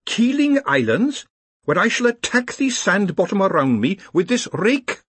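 Man putting on a fussy, high-pitched wizard professor. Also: sing-song, unhurried, a bit bright, slightly rough, no echo, heavily acted. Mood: dutiful, hesitant. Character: fussy middle-aged wizard professor, doubtful and easily offended.

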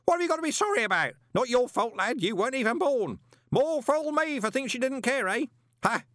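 A man voicing a loud, hearty elderly shopkeeper who bellows everything with no sense of volume. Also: lively intonation, brisk, no echo, studio quality. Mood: bellowing. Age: older. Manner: bellowing, booming, no volume control.

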